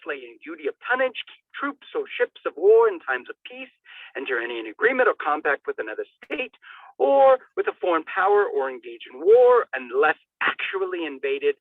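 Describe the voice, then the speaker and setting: High-pitched man declaiming through tinny phone-like audio. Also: dry recording. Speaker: reader. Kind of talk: theatrical dramatic reading of a formal document. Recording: narrow tinny telephone-like radio sound.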